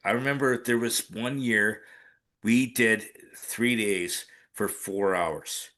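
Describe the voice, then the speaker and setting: A deep-voiced man chats slowly on a podcast. He keeps stopping and restarting his sentences and leans on fillers. His voice sits low and rumbling. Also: a bit bright. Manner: halting, many restarts and fillers. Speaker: podcast co-host. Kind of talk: casual chat.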